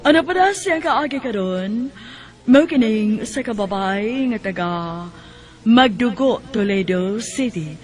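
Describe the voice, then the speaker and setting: A woman's voice: a little high, animated, takes it slowly. A woman announcer with a Cebuano Filipino accent reading a scripted introduction to a radio drama.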